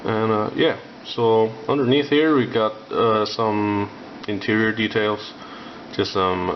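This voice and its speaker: A young Icelandic man with an Icelandic accent, rambling about building a scale model with lots of filler sounds and pauses. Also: fairly low pitch, steady tone. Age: young adult. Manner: halting, many filler sounds. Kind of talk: rambling hobby vlog. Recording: dull lo-fi with faint noise.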